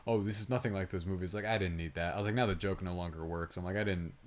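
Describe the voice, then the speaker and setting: A man's voice: sits low, steady tone, quick pace. A man co-hosting a film review podcast, chatting casually and animatedly with some swearing.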